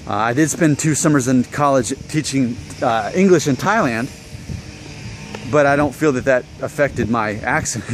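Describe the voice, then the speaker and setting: A man in his thirties from Alabama with a mild Southern American accent, talking casually to camera in a relaxed, chatty way. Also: mid-range voice, slight background noise. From Alabama, USA. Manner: relaxed, chatty, thinking aloud. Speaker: vlogger.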